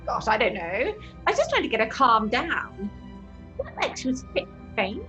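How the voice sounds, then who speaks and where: A placating theatre actress in a horror radio play. The high voice swings widely in a theatrical, soothing way, over soft music. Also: female voice, huge pitch swings, normal speed, dull audio. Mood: uneasy, sympathetic. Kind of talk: audio horror drama acting.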